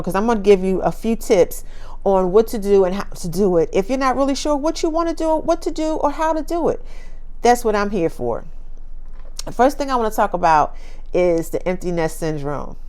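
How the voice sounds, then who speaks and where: A woman's voice: mid-range voice, a little mellow. A woman in her fifties hosting her own channel, talking casually and upbeat, laughing often and repeating phrases for emphasis. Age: 50s. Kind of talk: casual talk to camera.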